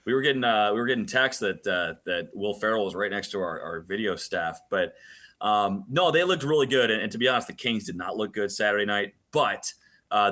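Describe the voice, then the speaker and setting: A man's voice: fairly low pitch, bright, forceful tone. A man who works as a radio play-by-play announcer, giving casual interview answers about sport, often repeating words and using colorful slang.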